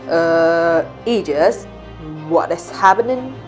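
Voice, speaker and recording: A woman playing a measured, deliberate male duke in an amateur radio drama, with some hesitation. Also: lowish voice, lively intonation, soft background music. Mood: measured, judging. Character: calm male duke, ruler and judge, measured.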